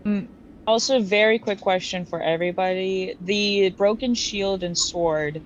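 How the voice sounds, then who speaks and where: A woman's voice: medium pitch, measured pace. A woman chatting casually as a player in a tabletop game. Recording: muffled audio with audible background noise.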